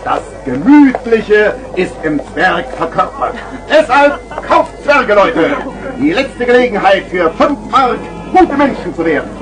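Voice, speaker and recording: A man's voice: high voice, sing-song, unhurried, over music, poor audio. A man playing a boisterous street hawker with theatrical, wheedling patter in a vintage children's radio play. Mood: jovial hawking. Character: boisterous male street hawker selling garden gnomes. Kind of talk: 1970s German children's radio play acting.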